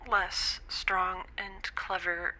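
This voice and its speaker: A woman in an audio drama speaking a halting, often whispered confession. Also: on the low side, flat delivery, bright, forceful tone, low-quality recording. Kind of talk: scripted audio drama monologue.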